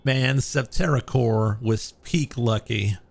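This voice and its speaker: A young man streaming a game, chatting casually and joking with viewers, his throat a little hoarse. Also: mid-range voice, slow pace. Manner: joking, self-mocking, musing aloud. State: slightly hoarse. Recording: faint game music behind.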